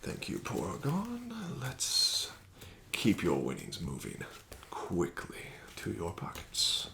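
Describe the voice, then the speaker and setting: A man hosts an ASMR casino roleplay as a flattering dealer. His voice sits very low with a gravelly edge, and he talks slowly with a lively, rising and falling lilt. A steady hiss runs under the recording. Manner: smooth, flattering, unhurried dealer patter.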